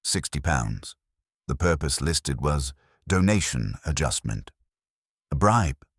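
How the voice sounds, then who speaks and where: A man's voice: rumbling low, animated, measured pace, breathy edge, dry recording, studio quality. A man reading scripted narration of a detective mystery story.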